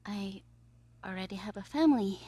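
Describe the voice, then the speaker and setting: A woman's voice: mid-range voice, normal speed, husky, no echo, close to an everyday voice. A woman playing a mischievous, lovestruck young villain in an audio roleplay, scheming theatrically in a monologue. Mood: hushed, conspiratorial. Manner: gleeful, swinging between exclamations and hushed asides.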